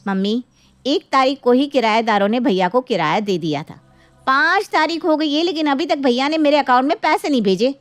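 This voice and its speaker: A woman's voice: high voice, expressive, fairly quick, no echo. A woman voicing a greedy, haughty grown daughter in a moral story, scolding and threatening imperiously. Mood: demanding. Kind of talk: dramatic moral-story acting.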